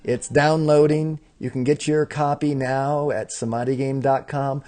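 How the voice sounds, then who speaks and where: A man hosting a spiritual broadcast, speaking in a calm, reflective way and leading chanted affirmations. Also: medium pitch, measured pace. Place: indoor room with windows in the mountains.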